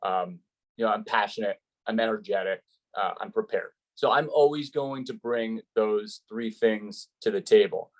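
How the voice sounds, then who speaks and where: A man's voice: fairly low pitch, normal speed, low-quality recording. A man who works as a sports play-by-play announcer, speaking conversationally in an interview and explaining his advice in an earnest, emphatic way.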